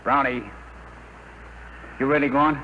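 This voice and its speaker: An actor playing a slangy, skeptical, wisecracking rocket technician in a 1950s radio drama, on an old broadcast recording. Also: male voice, mid-range voice, little pitch movement, takes it slowly, narrow, tinny audio, lightly acted. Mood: wry. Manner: slangy, wry. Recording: hissy 1950 radio broadcast.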